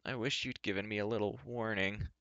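A man's voice: lowish voice, fairly even intonation, fairly quick, a bit bright, dry recording. A woeful, anxious fairy doorkeeper from a storybook, played by a man whines and moans his words in a plaintive, hesitant way. The sound is dry and slightly dull. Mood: sad, resigned. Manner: sighing, moaning, plaintive, hesitant. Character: thin, mournful, anxious, whining male fairy-folk doorkeeper. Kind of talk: audiobook character voice.

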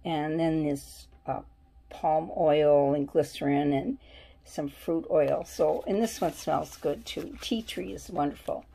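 Slow, gravelly-voiced woman chatting. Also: sits low, warm tone, rough recording. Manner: chatty, musing aloud while reading labels. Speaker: vlogger. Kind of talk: casual shopping haul show-and-tell. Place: at home, indoors.

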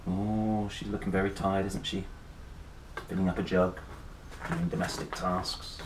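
A man in his thirties reading a picture book with playful, chatty asides and dramatic pauses. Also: sits low, level tone. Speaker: storyteller and host. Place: small wooden room or shed. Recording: roomy echo, faint background noise.

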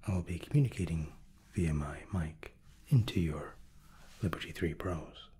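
A man in his thirties whispering softly and courteously as a flight attendant in an ASMR roleplay, close to the microphone. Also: low voice, breathy edge. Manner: soft, slow, courteous attentive steward.

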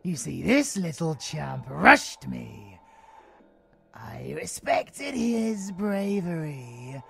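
Villain for audio drama: sadistic British monster, gravelly, wildly swinging pitch, theatrical and taunting. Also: male voice, a bit bright, full character voice. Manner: sing-song, taunting, savouring words slowly. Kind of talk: audio roleplay villain acting.

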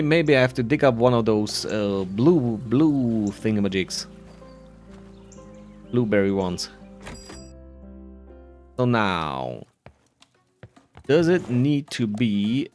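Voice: mid-range voice, darkish tone. A gaming creator giving casual playthrough commentary, rambling and muttering while thinking aloud.

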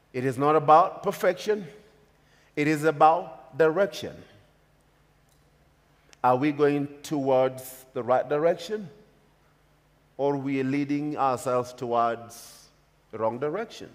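A man's voice: mid-range voice, slow pace, pauses often. A man in his thirties with a Kenyan accent, preaching a sermon from a church pulpit in an emphatic, repetitive cadence. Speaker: pastor. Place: church stage with large hall. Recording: long reverb of a church hall.

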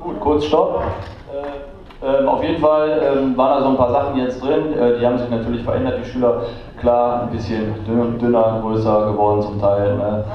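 A man's voice: mid-range voice, little pitch movement, fairly quick, a little hiss or hum. A man who works as a teacher, talking casually to a large audience in a theatre, rambling with lots of fillers. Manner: chatty, rambling, many fillers. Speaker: teacher giving a talk on stage. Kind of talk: casual stage talk. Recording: distant, roomy, muffled hall recording with crowd.

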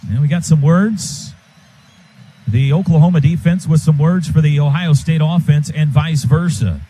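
A man's voice: fairly high pitch, normal speed, mellow, rough recording. An American man giving live football play-by-play on the radio in short, clipped phrases. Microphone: broadcast booth microphone. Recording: live stadium broadcast, faint ambient noise.

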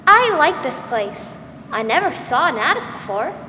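A woman's voice: high-pitched, sing-song, moderate pace, bright, forceful tone, exaggerated voice. A young woman acting an innocent, curious little girl in a 1940s-style radio drama. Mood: polite, shy. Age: young adult. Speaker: student actor. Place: school theatre stage. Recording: echoey hall from far off, noisy.

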